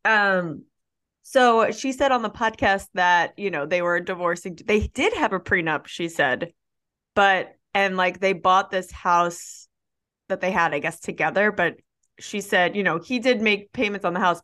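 Casting: woman co-host, low-ish voice, chatty and laughing, loose casual podcast talk. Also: moderate pace, fairly bright tone. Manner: chatty, laughs often, lots of fillers. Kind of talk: casual chat.

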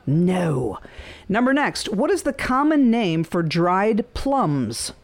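A woman hosting a phone-in quiz in a casual, chatty, bantering way, quick to laugh. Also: mid-range voice, very animated. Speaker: radio show host running a phone-in quiz.

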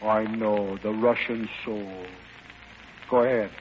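An actor playing a suave, playful romantic gentleman, urbane and teasing, in an old-time radio drama. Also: fairly low pitch, fairly even intonation, slow. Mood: tender, amused. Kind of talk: 1940s-style radio play acting. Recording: muffled, noisy old radio broadcast.

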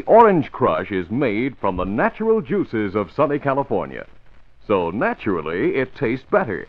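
A man narrating and announcing in scripted 1940s radio style. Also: mid-range voice. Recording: thin, tinny old radio recording.

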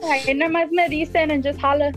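A woman's voice: high voice, fairly even intonation, fast, music in the background. A woman guest in casual chat, blunt and joking, mixing Spanish and English freely. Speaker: podcast guest.

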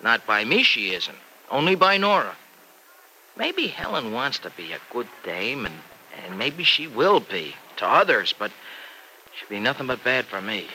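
A very bright, forceful voice that swings freely in pitch: an earnest young American farm fellow in an old radio drama. He is folksy, slangy and teasing. The old recording carries a faint hiss. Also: big pitch swings, a little slow, heavily acted, rough recording. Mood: stubborn. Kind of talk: mid-century American radio drama acting.

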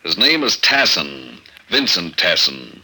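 A very sharp, forceful, fairly low voice plays a commissioner in an old radio thriller. He is brisk, authoritative and strictly matter-of-fact, a male boss giving orders. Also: sing-song, slightly rough, full character voice, rough recording. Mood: urgent, businesslike. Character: businesslike male government commissioner briefing his agent. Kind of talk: 1950s radio drama acting.

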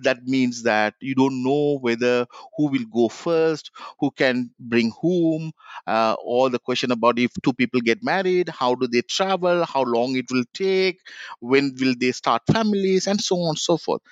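A man, an author answering interview questions reflectively, with many pauses and restarts. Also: medium pitch, dry recording. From Sri Lanka. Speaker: author, guest. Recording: dull lo-fi dry sound.

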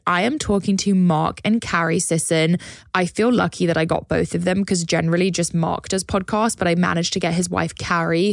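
A woman hosting a podcast chats along with eager, bubbly enthusiasm. Her voice is a little hoarse and carries a gravelly fry. It sits slightly on the low side and sounds close and dry, with no room around it. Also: no echo. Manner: enthusiastic, chatty, with frequent "like". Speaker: podcast host. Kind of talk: casual podcast chat.

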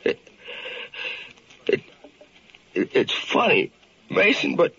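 A bitter, grieving ambulance driver in a vintage radio play. His voice is high and piercing and swings widely. The speech is rough, slangy and halting, on a muffled old recording. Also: wildly swooping pitch, a bit bright, heavily acted. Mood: halting wonder. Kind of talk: 1940s radio drama acting.

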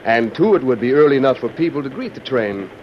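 An actor as hearty old-radio president, muffled recording. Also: male voice, medium pitch, expressive, fast, slight background noise, natural voice. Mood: genial. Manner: jovial, teasing, speechifying. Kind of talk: old-time radio acting.

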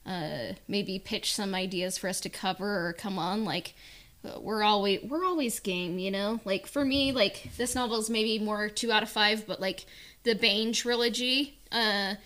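A woman co-hosts a casual podcast chat in a bright, forward voice of average pitch. She speaks in halting bits with plenty of "like" as filler. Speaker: podcast co-host.

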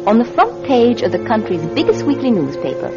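A woman playing a scheming, sharp-tongued grown daughter in an old radio drama, cutting and persuasive, both narrating and acting. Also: fairly high pitch, huge pitch swings, fairly quick. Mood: confiding narration. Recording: dull vintage radio, music behind.

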